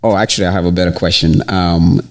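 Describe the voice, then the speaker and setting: A man with a very low voice hosts a podcast and chats easily with his guest. He is from the Ivory Coast and keeps up a relaxed, conversational flow, dropping in agreeing murmurs as she talks. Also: fast. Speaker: podcast host. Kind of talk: casual interview.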